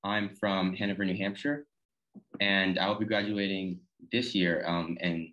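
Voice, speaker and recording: A man's voice: low voice, flat delivery, measured pace, fairly bright tone, dull audio. A teenage boy introducing himself as a student panelist over a video call. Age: teens. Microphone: video call webcam.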